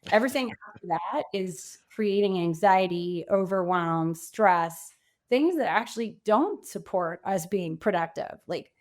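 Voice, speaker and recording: A woman's voice: medium pitch, animated, measured pace. A woman answering as a guest coach in a podcast interview, speaking informally and emphatically, often acting out quoted thoughts.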